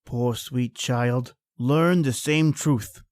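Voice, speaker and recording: An actor playing a two-faced killer who poses as a friend, theatrical and taunting, in an old-time radio thriller. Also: male voice, mid-range voice, very slow, a little mellow, dry recording. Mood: cold menace.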